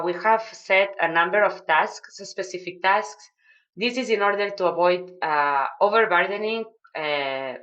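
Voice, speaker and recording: A woman with a Spanish accent explains tasks to newcomers on a video call, her voice sitting low. She talks in an explanatory way, pausing on fillers and restarting phrases. The audio is a touch dull. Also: a bit bright. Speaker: project mentor. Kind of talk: online video call briefing.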